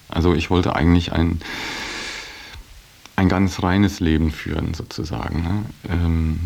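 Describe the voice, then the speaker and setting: A man's voice: low voice, vocal fry, a little mellow. A middle-aged man giving a reflective personal account in a hesitant way, searching for his words. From Belgian-German background. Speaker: interviewee.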